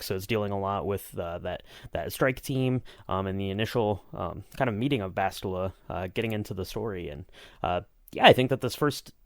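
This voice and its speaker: Male podcast co-host, lowish pitch, chatty and enthusiastic, dry studio-like sound. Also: no room sound. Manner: enthusiastic, rambling, conversational. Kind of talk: casual podcast chat.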